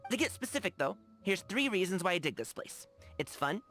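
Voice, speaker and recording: A woman's voice: lowish voice, sing-song, fairly quick, a bit bright, faint music behind. A woman voicing an upbeat, friendly young man in a video game, talking in a casual, slangy, enthusiastic way. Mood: excited, playful. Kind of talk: video game character dialogue.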